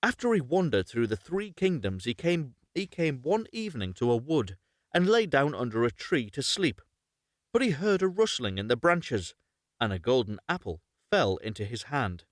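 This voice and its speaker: A man's voice: medium pitch, sing-song, moderate pace, no room sound, clean studio sound. A man hosting a live, unrehearsed story reading, narrating expressively, correcting himself and adding chatty asides. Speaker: host reading stories aloud on a livestream.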